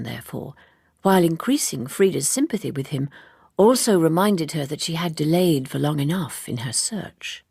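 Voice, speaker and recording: Female audiobook reader, literary and measured, animated pitch, very dry close sound. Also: gravelly, breathy edge, no echo. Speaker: audiobook narrator. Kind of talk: expressive literary scripted narration.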